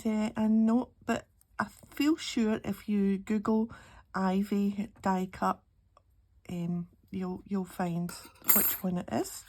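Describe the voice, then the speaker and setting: Slow-paced Scottish woman, mid-pitched, a little croaky from a cold, casual craft narration, thinking aloud. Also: a little mellow. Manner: unhurried, chatty, thinking aloud. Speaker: craft channel host. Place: indoor craft table. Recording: clearly audible background noise, handling clicks.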